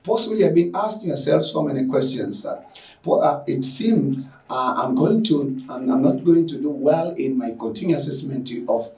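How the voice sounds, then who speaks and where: A man's voice: mid-range voice, animated, normal speed, mellow, reverberant, distant mic, poor audio. A man from Tanzania giving an effusive, filler-heavy welcome as an online lecturer. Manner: effusive, repetitive, with frequent fillers. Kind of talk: lecture introduction.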